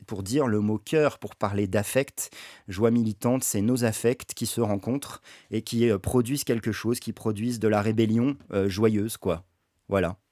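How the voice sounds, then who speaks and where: A man's voice: on the low side, darkish tone, no echo, studio quality. A man hosting a livestream reading session, talking casually with many hesitations and restarts. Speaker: stream host reading a book aloud.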